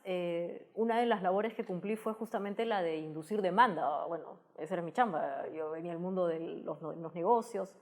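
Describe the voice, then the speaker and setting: A woman's voice: medium pitch, darkish tone. A woman with a Peruvian accent answering interview questions at length, thoughtful, often restarting and adding tag questions. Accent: Peruvian Spanish. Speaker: guest, tech communicator.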